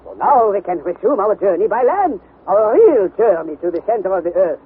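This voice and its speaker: A jovial, pompous older professor in an old radio play. His high voice swoops with hearty exclamations and stubborn confidence. The sound is thin and phone-like. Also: very animated, normal speed, breathy edge, exaggerated voice. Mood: confident. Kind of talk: vintage radio drama acting. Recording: thin, faintly noisy vintage radio recording.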